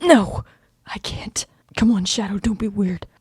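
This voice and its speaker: A woman voicing a gentle, protective young male cat who talks in a hushed whisper, close and dry. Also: mid-range voice, measured pace, breathy edge, no echo. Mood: hushed tender.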